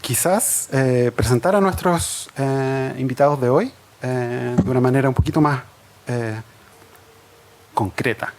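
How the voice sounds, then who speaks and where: A man moderating an academic seminar, introducing the speakers with many fillers and pauses. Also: mid-range voice, darkish tone.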